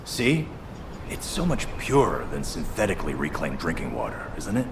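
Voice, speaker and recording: Deep, friendly construction worker. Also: male voice, huge pitch swings, moderate pace, reverberant, noisy background, full character voice. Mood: earnest explaining. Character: friendly, eager male construction corps veteran. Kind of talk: video game voice acting.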